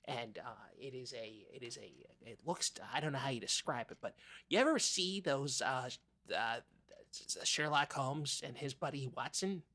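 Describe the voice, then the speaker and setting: Man as rambling oddball podcast co-host, medium pitch. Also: lively intonation, bright, forceful tone. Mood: earnest, rambling. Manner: rambling, halting, stammering. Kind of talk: improvised comedy chat in character.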